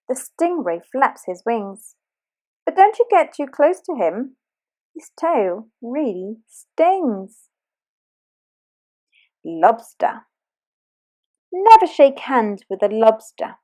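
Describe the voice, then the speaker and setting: A woman reads a rhyming children's picture book aloud with a sing-song lilt. Her voice is fairly high and swoops widely, and she takes her time over each line. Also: big pitch swings, slow, long pauses, warm tone. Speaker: storybook reader.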